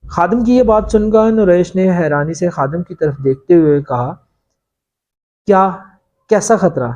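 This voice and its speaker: Mellow, slightly high-voiced male story narrator. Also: steady tone, moderate pace, muffled recording. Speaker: audiobook narrator. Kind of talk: dramatic scripted story narration.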